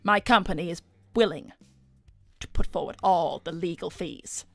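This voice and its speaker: A woman's voice: on the low side, normal speed, gravelly, fairly bright tone, faint music behind. Woman voicing a suave, persuasive cartoon businesswoman hedgehog. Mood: businesslike persuasion. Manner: suave, theatrical, commanding, calls others darling. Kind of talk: improvised in-character livestream chat.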